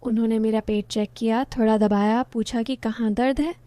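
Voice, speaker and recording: A woman narrating a first-person story in a steady storytelling tone. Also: on the high side, normal speed, clear, resonant tone, no room sound.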